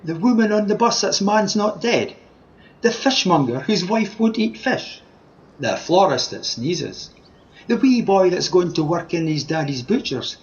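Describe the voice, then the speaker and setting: Man acting a lively, high-voiced Scottish wish granter. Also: unhurried. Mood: mysterious, insistent. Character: pleading, mischievous male wish granter disguised as a scruffy tramp. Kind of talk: amateur radio play acting. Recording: dull remote home recording.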